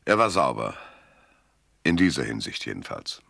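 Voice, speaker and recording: Cynical private eye, very low gravelly voice, forceful edge, dry laconic delivery, noir radio play. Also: male voice, sing-song, moderate pace, no echo, heavily acted. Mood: dry, matter-of-fact. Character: hardboiled, cynical male private detective, narrator of his case. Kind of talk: German radio crime drama, first-person noir narration and dialogue.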